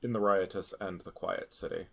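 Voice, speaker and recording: A man's voice: deep voice, fairly even intonation, moderate pace, creaky, a bit bright. A man playing a tabletop game, talking in a dry, understated way. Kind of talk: casual role-play chat.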